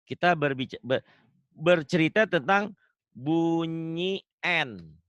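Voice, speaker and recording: A man's voice: a little high, animated, takes it slowly, a bit bright, clean tone, no room sound, lo-fi sound. A man teaching Quran recitation online, patiently drilling pronunciation and laughing often. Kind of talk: online tajwid lesson.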